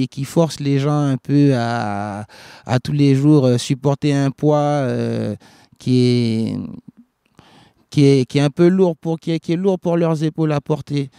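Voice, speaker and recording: A man who grew up in Liberia, a reggae singer answering interview questions in a halting way, with many restarts and fillers. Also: mid-range voice, dark tone, clear, resonant tone, no room sound, studio quality. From West Africa, grew up in Liberia.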